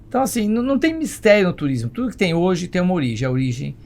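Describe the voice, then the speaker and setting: Fast-talking, gravelly older Brazilian man. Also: high-pitched, sing-song, darkish tone. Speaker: podcast guest, travel company executive. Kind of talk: conversational storytelling. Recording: clear background noise and ambience.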